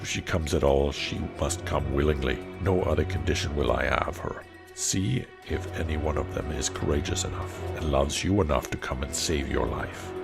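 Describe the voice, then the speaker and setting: A man voicing a frightful, furious male beast, speaking slowly and menacingly. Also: creaky. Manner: slow, menacing, deep growl. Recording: narration with music behind.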